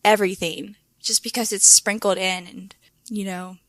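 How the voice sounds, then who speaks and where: A woman co-hosting a podcast in casual chat, with a hoarse voice and lots of filler words. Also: mid-range voice, level tone, normal speed, fairly bright tone, no echo. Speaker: podcast co-host.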